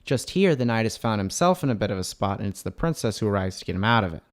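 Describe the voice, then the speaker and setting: A man's voice: lowish voice, big pitch swings, fast talker. A man hosting a hobby building channel, narrating a scripted showcase in an enthusiastic way. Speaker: host of a LEGO building channel.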